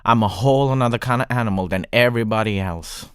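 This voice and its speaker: A man's voice: fairly low pitch, fast, fairly bright tone, clear, resonant tone, no room sound, studio quality, close to an everyday voice. A man playing an intense young man drawn to a stranger in a contemporary audio drama, speaking earnestly and intimately. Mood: earnest, urging.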